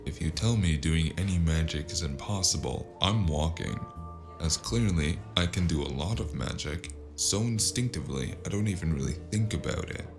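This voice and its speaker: A man playing a sardonic, battered spacer in a noir audio drama, with a gravelly voice and a wry, deadpan delivery. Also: rumbling low, moderate pace, over music.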